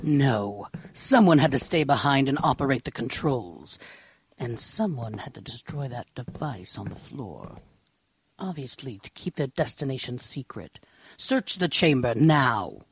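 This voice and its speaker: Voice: medium pitch, animated, a little slow, slight background noise. An actor playing a sadistic alien general in an audio drama, gloating with mock-sweet menace. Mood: cold, commanding.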